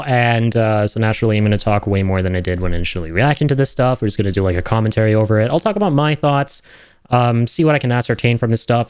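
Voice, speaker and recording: A man's voice: fairly low pitch, darkish tone, no echo. A man giving casual, enthusiastic reaction commentary over game footage in an informal, slangy, chatty way. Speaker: host of a gaming reaction channel.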